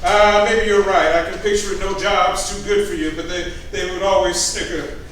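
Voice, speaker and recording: Man playing a calm, fatalistic preacher. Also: sits high, moderate pace, slight background noise. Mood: coaxing. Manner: soft, coaxing, persuasive. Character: calm, persuasive male preacher with a fatalistic air. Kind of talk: staged musical reading. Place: stage. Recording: echoey stage from far off, music.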